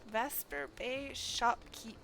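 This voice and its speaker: Female game streamer, bright tone, unhurried, congested nose, reads dialogue aloud and comments. Slight background noise. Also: sits high, steady tone, creaky. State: stuffy nose.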